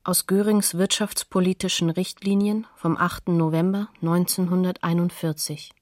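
An actress as a neutral female announcer in a radio docudrama. She names sources factually in a fairly low voice, recorded dry. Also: flat delivery, moderate pace, no echo, natural voice. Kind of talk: documentary radio play, scripted announcement.

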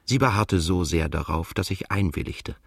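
An actor playing a reflective, melancholy male writer who narrates his own story in a radio drama in measured, literary phrases. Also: low-pitched, expressive, gravelly, breathy edge, no echo, clean studio sound, exaggerated voice. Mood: tender, reflective.

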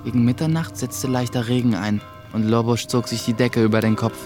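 A man playing an earnest young sorcerer's apprentice who narrates his own story in a measured way for a radio play. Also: mid-range voice, little pitch movement, lightly acted. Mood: narrating, measured. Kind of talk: scripted first-person narration in German radio play. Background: music.

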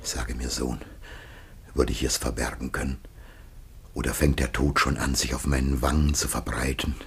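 A man's voice: level tone, moderate pace, a bit bright, heavily acted. An actor playing a dying old patriarch and father in a classic German radio drama, declaiming solemnly and weightily. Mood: troubled. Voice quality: very deep, husky.

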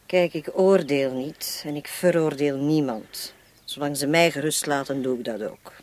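A woman's voice: deep voice, unhurried, darkish tone. A woman acting a curt, guarded housekeeper at an estate in a radio play, cool in manner.

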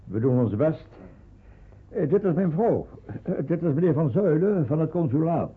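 A man's voice: on the high side, lively intonation, moderate pace, breathy edge. An actor playing a polite, hesitant shopkeeper and father in a radio drama, worried and unsure of himself. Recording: muffled, phone-like old radio recording.

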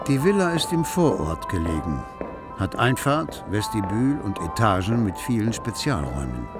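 A man's voice: fairly low pitch, big pitch swings, moderate pace, music in the background. A man narrating a literary radio play in an observant, composed way. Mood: composed, wry.

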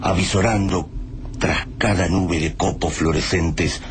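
A man's voice: sits low, fairly even intonation, slight background noise. A man acting as the grave narrator of a radio drama about war, speaking in a solemn, literary way. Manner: slow, solemn, literary delivery. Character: grave male narrator of a post-apocalyptic war tale. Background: music.

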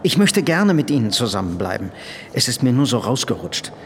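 A man's voice: mid-range voice, very animated, brisk, close to an everyday voice. An actor playing an overwrought businessman on the run in a radio play, speaking urgently and cautiously. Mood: nervous, apologetic. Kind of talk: German radio drama acting. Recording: long hall reverb, faint ambient noise.

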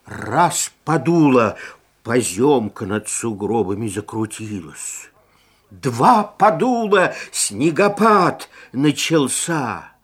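A man narrating a children's fairy tale in a theatrical, expressive way, stretching key words for emphasis. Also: mid-range voice, husky. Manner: theatrical, deliberate, drawn-out emphasis. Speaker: storyteller.

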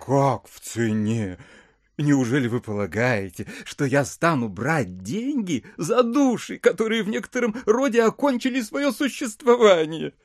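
A dreamy, syrupy male landowner in a classic audio drama. His voice sits high and swings widely, gushing in drawn-out, mannered phrases, recorded close and dry. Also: a little mellow, no echo. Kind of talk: theatrical Russian classic audio drama acting.

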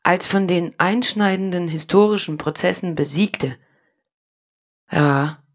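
An actress playing a solemn, remorseful woman reading a formal letter in a radio drama, measured and deliberate, with a husky, creaky voice. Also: lowish voice.

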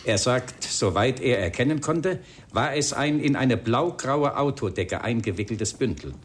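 A man playing a polite, persistent police inspector in a radio crime drama, speaking in a formal, measured way. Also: mid-range voice, expressive, slight background noise, natural voice. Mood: firm, insistent. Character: polite, persistent male police inspector breaking grim news. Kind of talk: radio play acting.

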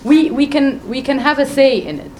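A woman with a loud voice speaking on a conference panel, making an emphatic, persuasive case and addressing the audience directly. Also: sits high, moderate pace, background noise. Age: adult with a doctorate.

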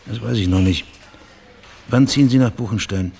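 Low-voiced, dry private investigator. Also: male voice, normal speed, vocal fry, warm tone, slightly rough. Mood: dry, probing. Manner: dry, probing, understated. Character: wry, persistent male private investigator, tender lover. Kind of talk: German radio crime drama acting.

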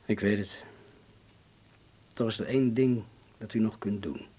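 Husky, grave, courteous man in hiding. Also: lowish voice, warm tone. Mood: delicate, persuasive. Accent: Dutch from the Netherlands. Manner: formal, measured, deliberate. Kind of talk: Dutch radio drama acting.

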